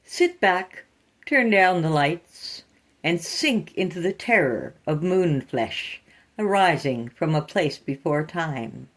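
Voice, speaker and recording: A woman's voice: low-pitched, lively intonation, slow. A woman hosting and narrating scripted horror fiction in a theatrical, sinister storytelling manner.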